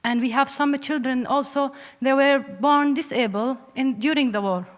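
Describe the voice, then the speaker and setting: A young woman with a Syrian Arabic accent speaks in a fairly high voice. Her English is halting and earnest, and the hall rings with long reverb around her. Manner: halting non-native English. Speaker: audience member, film student. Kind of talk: earnest question from the floor. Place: large panel hall, public address microphone.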